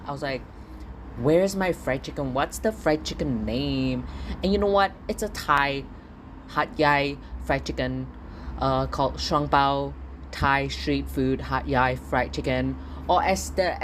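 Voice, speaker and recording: A man's voice: on the high side, a bit bright, a little hiss or hum. A young man from Singapore, talking alone in a casual podcast diary at home, ranting and swearing with stammered repeats. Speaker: podcast host.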